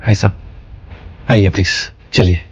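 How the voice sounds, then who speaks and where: An actor playing a polite, helpful manager in an audio drama, speaking in a courteous, businesslike way. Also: male voice, deep voice, fairly even intonation, moderate pace, a little mellow, husky, highs cut off. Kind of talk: Hindi audio drama acting.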